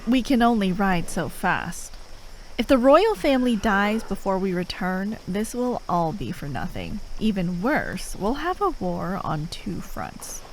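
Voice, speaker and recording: An actress as a sharp-tongued female military operative in a fantasy roleplay, exasperated and reluctantly caring. Her pitch swings widely while her pace stays slow, broken into short sardonic phrases. Faint night ambience sits behind her. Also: very animated, a little hiss or hum, poor audio. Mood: reasoning, grave. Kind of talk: fantasy audio roleplay acting, addressed to a listener.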